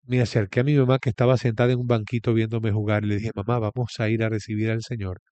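A man's voice: fairly low pitch, little pitch movement, quick pace, a little mellow, no echo, highs cut off. A man with a Venezuelan accent giving a reflective religious talk as a guest, repeating key phrases for emphasis.

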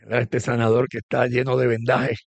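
Gravelly pastor host. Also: male voice, medium pitch, little pitch movement, brisk, dry recording. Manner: conversational, with many restarts and fillers. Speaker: pastor hosting a program. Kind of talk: interview.

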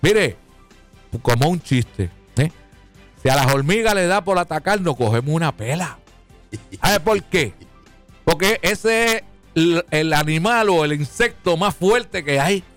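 A man's voice: expressive, unhurried, fairly bright tone, soft background music. A man hosting a live radio talk show, folksy and joking, often calling listeners "papá". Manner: jokey, folksy, calls listeners "papá", swings pitch for emphasis.

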